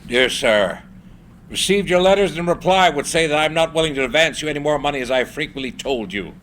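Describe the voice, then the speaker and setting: A man's voice: on the high side, expressive, brisk, a bit bright, slight background noise. A man playing a scornful, rich financier, curt and haughty, in a dramatic screenplay reading. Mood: curt, dismissive. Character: imperious, scornful, rich male financier, hard-nosed businessman.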